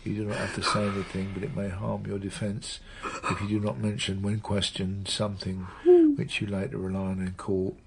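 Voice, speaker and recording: An older man, an actor playing a weary, brooding veteran police detective with a dry, measured, probing manner in a radio drama. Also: fairly low pitch, completely flat delivery, creaky, heavy background noise. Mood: formal, gently coaxing. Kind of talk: naturalistic radio drama acting.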